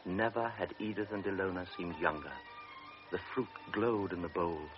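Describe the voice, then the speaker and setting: A man narrates in a very deep, grave, measured voice. The sound is dull and muffled like an old radio broadcast, with music playing underneath. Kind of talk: scripted radio drama narration.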